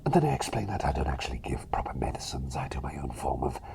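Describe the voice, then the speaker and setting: A man playing a cheery, self-doubting plague doctor in an ASMR roleplay, whispering breathily and softly, with hesitant, repetitive rambling that seeks approval. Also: very deep voice, big pitch swings, fast, a little hiss or hum, heavily acted. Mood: eager, rambling. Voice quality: breathy whisper mixed with soft voice. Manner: hesitant, rambling, repeats phrases, eager for approval.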